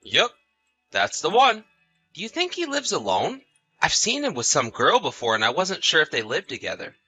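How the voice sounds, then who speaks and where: A man's voice: fairly high pitch, huge pitch swings, moderate pace, bright, forceful tone, slightly rough, no echo, faint music behind, heavily acted. A man playing an easygoing, sociable husband in chatty, casual audio drama dialogue. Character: easygoing husband, sociable, placating, mildly defensive.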